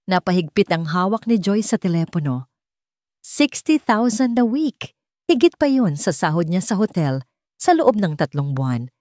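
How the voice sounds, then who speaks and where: Filipino woman, audio story narrator, lower pitch, lively intonation, dramatic scripted delivery, dry recording. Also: dry recording.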